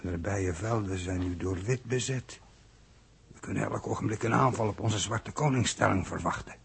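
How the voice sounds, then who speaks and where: An actor in a 1960s radio drama playing an earnest, confused and submissive man from an underground city, speaking hesitantly in a husky, gravelly voice. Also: fairly low pitch, normal speed, a little hiss or hum. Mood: earnest, explaining. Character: thirsty, confused, submissive male city-dweller from a future underground society. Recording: old 1960s radio recording.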